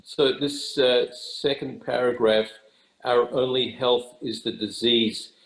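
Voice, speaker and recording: Male group participant, gravelly and level, reflecting earnestly with pauses for words, echoing room sound. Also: medium pitch, fairly even intonation, fairly bright tone. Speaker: discussion participant. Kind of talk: reflective comment in a group discussion.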